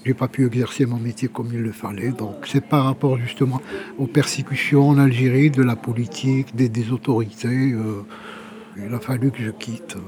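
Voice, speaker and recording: A man's voice: medium pitch, fairly even intonation, normal speed. Older man talking amid background chatter. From Algeria, Kabyle. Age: 60s. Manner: informal, repeats words while searching for the next. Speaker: interviewee, exiled journalist living homeless. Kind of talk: personal testimony, conversational storytelling.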